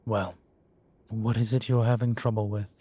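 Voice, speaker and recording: A man's voice: on the low side, little pitch movement, breathy edge. A man playing a droll, proud, genteel demon professor who lectures a student in a scripted roleplay audio. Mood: patient lecturing. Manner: unhurried, genteel, gently lecturing. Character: droll, proud, urbane male demon professor, centuries old. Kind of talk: scripted roleplay audio, one-sided conversation.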